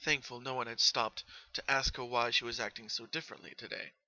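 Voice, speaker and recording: A man reading a story as narrator, in an expressive, earnest dramatic style. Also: mid-range voice, brisk, muffled recording.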